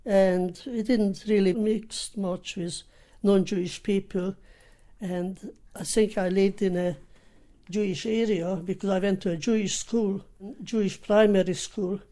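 Elderly Hungarian woman with a mellow voice. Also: medium pitch, steady tone. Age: 80s or older. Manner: halting, plain-spoken recollection in short phrases. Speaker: interviewee, Holocaust survivor giving testimony. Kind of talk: personal testimony in an interview.

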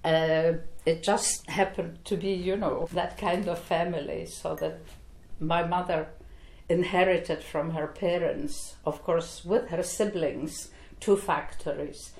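An older woman from Poland, recounting her early life in an oral history interview, with slow, reflective speech and frequent fillers. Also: fairly low pitch, steady tone, darkish tone. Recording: echoey room.

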